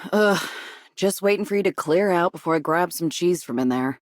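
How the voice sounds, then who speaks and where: A woman's voice: lowish voice, moderate pace, no echo, close to an everyday voice. Woman as a grumbling, sarcastic cleaner. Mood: grumpy, incredulous. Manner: grumbling, dismissive. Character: grumpy, sarcastic cleanup worker who sneaks cheese. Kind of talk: video game character voice lines.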